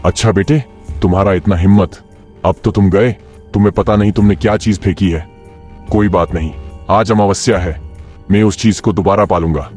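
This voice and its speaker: Man acting a low, menacing evil sorcerer. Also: steady tone, normal speed, a little mellow, soft background music, lightly acted. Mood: vengeful threat. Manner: threatening. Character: menacing evil male occult sorcerer, vengeful. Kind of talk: animated horror story voice acting.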